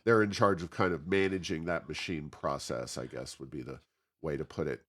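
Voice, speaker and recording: A man conducting a conversational interview, asking questions in a halting, hesitant way. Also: rumbling low, steady tone, a bit bright. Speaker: podcast interviewer.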